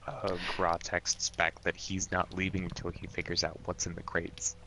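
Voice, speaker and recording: Male tabletop player, low and monotone, casual, with clear background noise. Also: moderate pace. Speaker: player in a tabletop role-playing game. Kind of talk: casual game chat. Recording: clearly noisy, clicks and typing behind.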